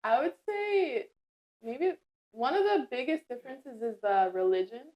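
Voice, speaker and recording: A young woman from the United States, a guest, chatting in a relaxed way with filler words. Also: high-pitched, measured pace, lo-fi sound. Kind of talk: casual interview chat.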